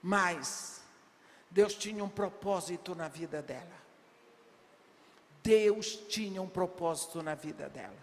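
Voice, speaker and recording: A woman's voice: lowish voice, slow pace, long pauses. Older woman preaching in a reverberant hall. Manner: emphatic, rhetorical questions, bursts of shouting. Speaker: preacher at women's congress. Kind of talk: sermon. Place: large church hall. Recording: long reverb of a big hall.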